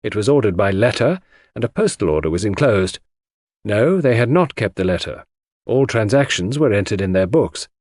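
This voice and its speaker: A man reading a classic detective story as scripted narration, measured and dramatic in his storytelling. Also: fairly low pitch, very animated, a little mellow, no room sound, studio quality. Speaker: audiobook narrator.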